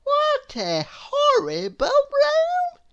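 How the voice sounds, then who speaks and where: A woman voicing a contrary little storybook lady who gleefully says the opposite, in a shrill, drawn-out, exaggerated delivery. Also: huge pitch swings, a bit bright, highs cut off, heavily acted. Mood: gleeful disdain.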